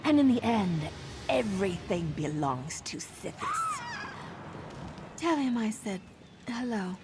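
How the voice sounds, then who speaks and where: A woman voicing a composed female assassin posing as a servant in a video game, silky and deliberate. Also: on the high side, wildly swooping pitch, background noise, full character voice. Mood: coldly menacing. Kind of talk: dramatic video game dialogue.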